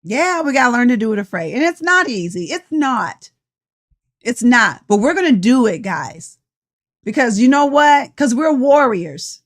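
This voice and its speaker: A woman in her sixties with a slightly high voice. She talks straight to her viewers like a preacher, urging them to fight. She sounds passionate and a little tired. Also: sing-song, unhurried. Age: 60s. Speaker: life coach. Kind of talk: motivational live-stream talk.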